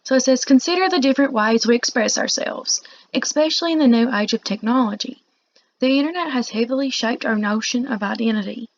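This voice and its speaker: A woman teacher giving a read-along lecture, reading aloud and pausing to explain in informal asides. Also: on the high side. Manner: explanatory, pausing to paraphrase the text. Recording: dull, muffled lo-fi recording.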